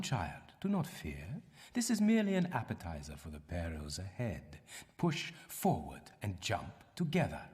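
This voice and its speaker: An actor playing a stern, condescending older archaeologist mentor in a video game, speaking formally and instructively. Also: male voice, low-pitched, heavily acted. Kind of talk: video game cutscene and tutorial acting. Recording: long cavernous reverb.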